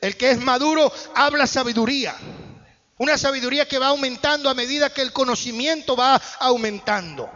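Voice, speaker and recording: A man's voice: extremely high pitch, brisk, bright, forceful tone. A man preaching a sermon in a church hall, with emphatic rising bursts. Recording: muffled church hall echo.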